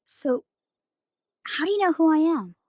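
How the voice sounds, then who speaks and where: A woman's voice: high voice, sing-song, measured pace, darkish tone, dry recording. A woman voicing an innocent, polite young boy hero with an American accent in a fantasy game. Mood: bewildered. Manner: naive, questioning, earnest. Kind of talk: animated fantasy game voice acting.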